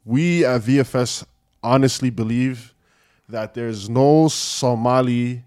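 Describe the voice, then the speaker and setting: A man's voice: medium pitch, slow, a little mellow. A young man on a podcast, telling a story in casual slang with lots of fillers. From Canada. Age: young adult.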